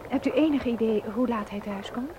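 A woman's voice: fairly high pitch, fairly quick, close to an everyday voice. An actress playing an earnest, devout and resolute young woman in a radio drama, with polite, formal diction. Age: young adult. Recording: noisy old radio play recording.

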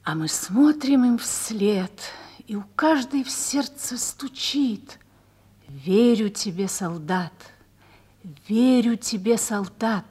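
An actress playing a sorrowful woman who remembers the war, speaking reflectively and at times in a hush, in a radio drama. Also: on the high side, breathy edge, heavily acted. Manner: wistful, reflective, hushed at times. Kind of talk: theatrical Russian radio drama.

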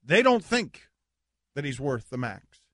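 A man hosting a sports radio talk show, arguing loudly and excitably with a somewhat whiny voice. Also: medium pitch, measured pace, dry recording, studio quality. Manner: loud, excitable, animated. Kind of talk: heated sports talk debate.

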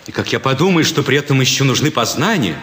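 A male actor plays a courteous, eager gambler in a classic stage play. He speaks with lively, theatrical intonation, full of admiration and curiosity. A long hall reverb surrounds his voice. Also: medium pitch, fast talker, natural voice. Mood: amazed, curious. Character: eager, admiring male gambler, politely inquisitive about trickery.

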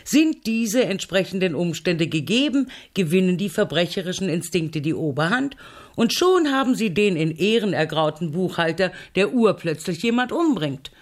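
Radio drama: imperious, provocative female novelist, middle-aged, dry wit, very lively intonation. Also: on the low side, normal speed. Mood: provocative, confident. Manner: assertive, dry, teasing. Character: headstrong, sardonic crime novelist aunt, imperious and provocative. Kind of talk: German radio play dialogue.

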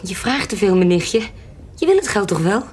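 An actress playing a shrewd, self-possessed adventuress in a Dutch radio drama, guarded and evasive. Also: female voice, medium pitch, big pitch swings, moderate pace, darkish tone, slightly rough. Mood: calm, guarded.

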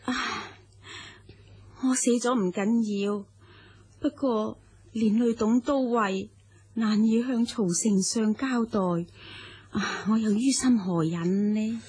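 An actress playing a sorrowful, selfless noblewoman in a radio drama, with a husky, sighing, halting delivery. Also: a little high, darkish tone, heavily acted. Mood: weak, remorseful. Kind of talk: Cantonese radio drama acting.

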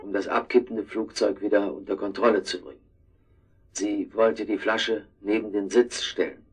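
An actor in a German radio drama playing a guilt-ridden, cowardly man who is groggy and hungover, speaking with a heavy, halting tongue. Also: on the low side, fairly even intonation, faint music behind, lightly acted, low-quality recording. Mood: sombre, confessing. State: groggy, hungover after drink and pills.

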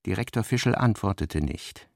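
A man reading scripted literary narration for a radio drama in a measured, sober manner, with a low, creaky voice. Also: animated, a little mellow, no room sound, clean studio sound.

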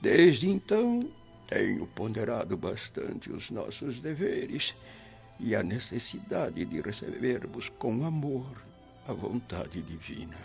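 An actor playing a reflective, repentant spirit in a Brazilian radio drama, earnest and ponderous in theatrical style. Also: male voice, medium pitch, big pitch swings, slow pace, gravelly, heavily acted. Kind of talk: theatrical radio play acting. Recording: dull lo-fi audio with music.

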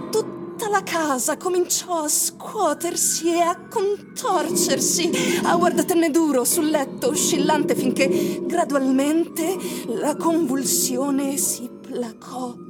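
A woman giving dramatic, literary radio narration with deliberate, suspenseful phrasing. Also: high voice. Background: music.

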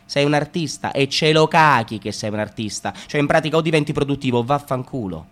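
A man performing a radio theatre reading. He swings from sarcastic loud outbursts to low mutters. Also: brisk. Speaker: stage reader.

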